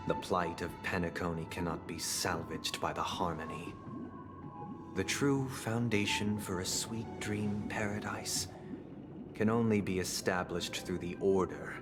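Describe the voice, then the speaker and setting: Slow, low-voiced solemn visionary. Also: male voice. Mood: solemn conviction. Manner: measured, grandiose, oratorical. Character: composed, idealistic male visionary preaching order, solemn and condescending. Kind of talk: dramatic game voice acting, philosophical monologue. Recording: studio voice under background music. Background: game music.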